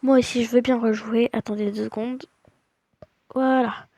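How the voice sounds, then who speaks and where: A woman's voice: on the high side, flat delivery, normal speed, no echo. A woman with a slight cold giving a casual, hesitant voice-over of her gameplay, full of fillers and restarts. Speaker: gaming channel host. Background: loud game music.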